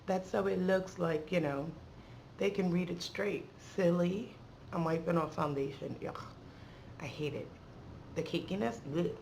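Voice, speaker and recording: Woman vlog host, casual and rambling, playful slang, creaky delivery, faint background noise. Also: lowish voice, measured pace, darkish tone. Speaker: beauty vlogger and channel host. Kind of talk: casual chatty unboxing vlog.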